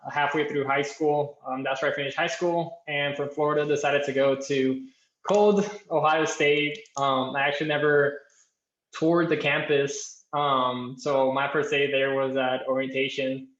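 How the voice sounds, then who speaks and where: A man's voice: fairly high pitch, flat delivery, normal speed, fairly bright tone. Young Colombian-born man, echoey call. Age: young adult. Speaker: alumnus guest, engineer. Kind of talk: casual introduction on a video call. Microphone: video call. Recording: echoey, dull-sounding room.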